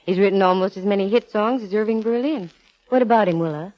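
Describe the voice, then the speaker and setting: A woman's voice: medium pitch, fast talker, lightly acted. An American actress playing a breezy, wisecracking female private investigator in a 1950s radio drama, with a wry delivery. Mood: curious, wry. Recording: dull vintage radio recording.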